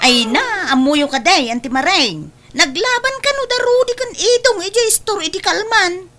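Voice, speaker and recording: A woman's voice: very high voice, lively intonation, normal speed, sharp tone, heavily acted. Woman acting a piercing, nosy Ilocano gossip. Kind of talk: amateur comedy audio drama acting.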